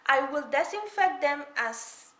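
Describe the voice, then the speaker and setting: A woman's voice: high voice, expressive, measured pace, sharp tone. A woman casually reviewing household gloves at home, chatting and demonstrating as she goes. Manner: chatty, demonstrating while explaining. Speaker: product reviewer. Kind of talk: casual product review demo. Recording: echoey room, faint noise.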